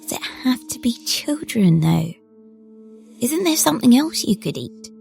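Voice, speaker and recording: A woman playing a stern, precocious little girl in an audio horror drama, speaking in a formal, measured way. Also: on the high side, sing-song, gravelly, darkish tone, heavily acted. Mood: probing. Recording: music under the voice.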